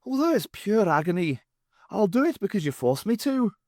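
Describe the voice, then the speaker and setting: A man voicing a sorrowful, pleading Irish space traveller with a high, strained voice and an Irish accent. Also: big pitch swings, normal speed, darkish tone, dry recording, studio quality.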